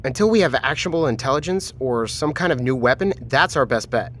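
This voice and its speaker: An actor playing a pragmatic submarine commander in an audio drama, measured, reasoning and placating. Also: male voice, medium pitch, lively intonation, background noise, natural voice.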